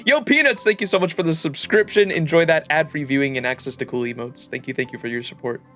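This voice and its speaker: A man's voice: a little high, quick pace, fairly bright tone. A man livestreaming a game, chatting casually with viewers in slangy, jokey, profane talk. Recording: game music under the voice. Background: game music.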